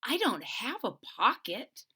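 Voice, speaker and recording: A woman's voice: on the high side, sing-song, takes it slowly, bright, forceful tone, husky, exaggerated voice. A woman voicing an eager, curious little toy bear boy full of wonder in a storybook reading. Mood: puzzled, determined.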